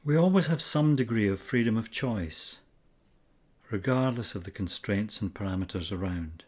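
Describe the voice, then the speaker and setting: A man narrating a scripted guided meditation in a measured, instructional way with pauses between phrases. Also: fairly low pitch, lively intonation, a little mellow, husky.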